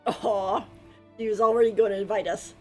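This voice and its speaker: A woman's voice: medium pitch, steady tone, moderate pace, dark tone, faint music behind. A woman streamer giving casual, giggly commentary while playing, often poking fun at the characters. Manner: giggly, mocks the characters' lines.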